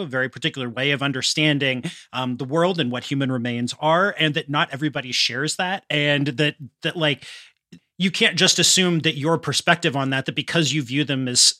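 A man's voice: on the high side, sharp tone, no echo, clean studio sound. An American man hosting an interview, talking in a reflective, discursive, conversational way. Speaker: podcast host, interviewer.

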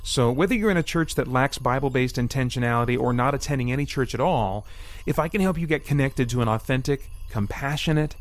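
A man's voice: mid-range voice, brisk. A man hosting a podcast solo in a casual style, moving between scripted bits and rambling asides, often interrupting himself as he thinks aloud. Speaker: podcast host.

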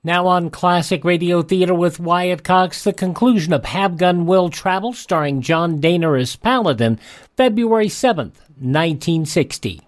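A man's voice: sits high, normal speed. A man announcing a radio programme in a scripted show introduction.

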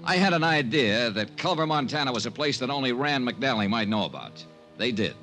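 An actor playing a wry, skeptical American insurance investigator in a 1950s radio detective drama, speaking in a dry, clipped manner. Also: male voice, medium pitch, big pitch swings, brisk, pushed and bright, faint music behind. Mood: crisp, wry. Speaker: lead actor and narrator.

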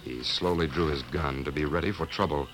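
A man's voice: rumbling low, a little fast, a bit bright, a little hiss or hum. A man narrating a scripted western adventure in 1950s radio drama style. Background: music.

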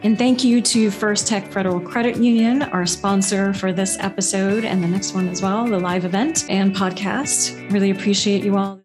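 Measured woman hosting a podcast. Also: medium pitch, fairly even intonation, music in the background. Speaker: podcast host. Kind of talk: scripted intro, then hosting a live panel.

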